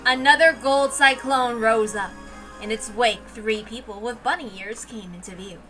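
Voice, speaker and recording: A woman's voice: a little high, normal speed, pushed and bright, faint music behind, low-quality recording. A woman reading a visual novel aloud, moving between casual chat and story narration.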